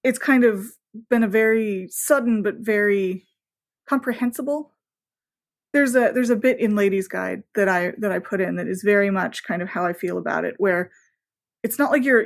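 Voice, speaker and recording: A woman speaks in a medium-pitched voice as the guest in a casual interview. She is chatty and effusive, informal in her phrasing, and punctuates her thoughts with emphatic asides. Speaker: podcast guest, romance novelist.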